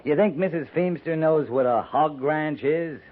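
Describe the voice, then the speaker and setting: An American man playing a calm, wry army captain with a dry, courteous, needling manner in a 1950s radio drama. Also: a little high, unhurried. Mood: wry, pointed. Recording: tinny, narrow-band old radio recording.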